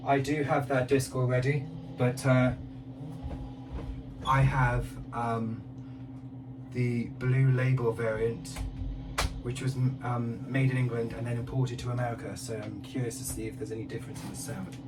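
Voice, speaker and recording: A man who collects records, presenting an unboxing in a casual, halting way with pauses between phrases. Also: medium pitch, little pitch movement, music in the background. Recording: distant mic with clear background noise.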